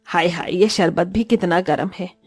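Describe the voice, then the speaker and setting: A woman's voice: lowish voice, normal speed, husky, no room sound, voice pushed down. A woman voicing a greedy, scolding mother-in-law in a cartoon story, with a taunting, melodramatic manner. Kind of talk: Hindi cartoon story voice acting.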